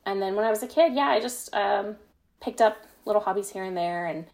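A woman's voice: mid-range voice, a little fast, gravelly, a little mellow. A young American woman telling personal stories in casual conversation as a podcast guest, with a modest, self-deprecating manner. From United States. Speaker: podcast guest, fan fiction writer. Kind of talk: casual chat, personal anecdotes.

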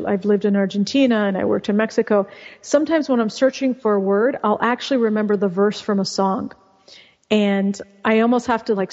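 A woman's voice: mid-range voice, a little mellow. A woman guest and author whose first language is Russian, explaining things in a chatty, casual interview manner with frequent restarts. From California. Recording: muffled, with long reverb.

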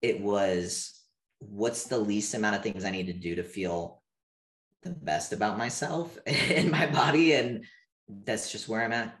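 Candid male interview guest, 30s, lowish voice, room echo. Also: measured pace. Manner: open, animated, frequent "like" fillers. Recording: echoey room.

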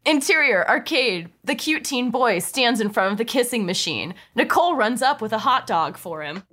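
A woman's voice: a little high, animated, normal speed, a bit bright. A woman reading stage directions in an amused way as the narrator of a comedic script table read.

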